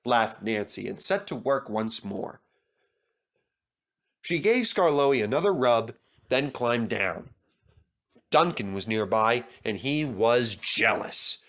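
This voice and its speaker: A man's voice: mid-range voice, lively intonation, slow pace, pauses often, fairly bright tone. A man reading a scripted children's story as narrator.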